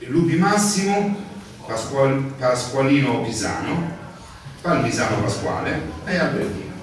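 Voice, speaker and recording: A man reading out ballot names one by one at a group meeting. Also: a little slow. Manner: reads names in a steady list, lively pitch. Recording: echoey room, far from the mic, crowd behind.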